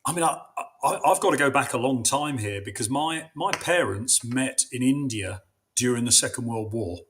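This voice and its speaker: A man's voice: mid-range voice, normal speed, a bit bright. A man from England, a guest on a casual video call, telling stories and stumbling on his starts.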